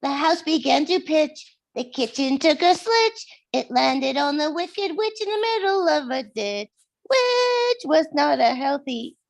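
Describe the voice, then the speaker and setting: A woman playing a small, cheerful munchkin villager, reading musical lyrics in a deliberate singsong. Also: sits high, sing-song, vocal fry, full character voice. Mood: gleeful. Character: small, cheerful munchkin townsfolk, chanting gratefully.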